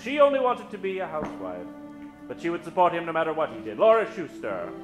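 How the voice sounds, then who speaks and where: Male announcer, slightly high-pitched, theatrical old-time radio style, dramatic drawn-out storytelling, live stage, faint music behind. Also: wildly swooping pitch. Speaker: host and announcer. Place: stage before a live studio audience. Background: musical accompaniment.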